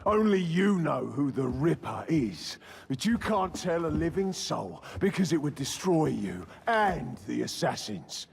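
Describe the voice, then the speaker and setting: An actor playing a menacing, unhinged male serial killer with a gravelly, taunting voice. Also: fairly high pitch, sing-song, unhurried, exaggerated voice. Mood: frenzied.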